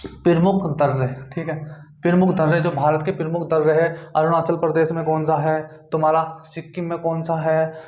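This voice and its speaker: Male exam coach, North Indian accent, higher-pitched voice, informal and repetitive lecturing, dull lo-fi sound. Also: flat delivery, moderate pace, a little mellow. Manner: repetitive, with frequent check-in tags. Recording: dull, echoey room recording.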